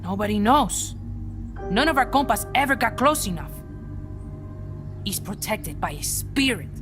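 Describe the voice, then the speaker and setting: A woman voicing an excitable, naive young boy guerrilla in a video game, eager and exclamatory in manner. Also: medium pitch, a little slow, over music, natural voice. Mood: earnest. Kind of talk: video game cutscene acting.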